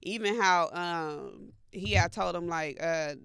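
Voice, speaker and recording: A woman's voice: on the low side, slow, fairly bright tone, clean tone, dry recording, studio quality. An American woman co-hosting a talk show, chatting casually in blunt, slangy language. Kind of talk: casual culture gossip chat.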